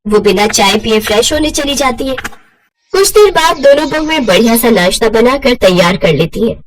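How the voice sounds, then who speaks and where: A woman's voice: on the high side, lively intonation, normal speed. A woman narrating a scripted moral story as its storyteller.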